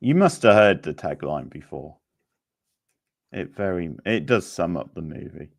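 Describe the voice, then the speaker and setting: A man hosts a casual quiz in a deep voice with a slow, unhurried delivery. His pitch moves around in a lively way as he chats with players and adds dry, wry comments. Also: creaky. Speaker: quiz host. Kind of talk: casual live quiz hosting, chatting with participants.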